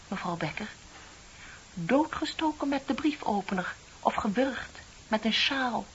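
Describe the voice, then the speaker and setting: An actress playing a bitter, composed office clerk in her thirties in a radio play, speaking in a deliberate, pointed, theatrical way. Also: sits high. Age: middle-aged. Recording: dull, hissy old radio play recording.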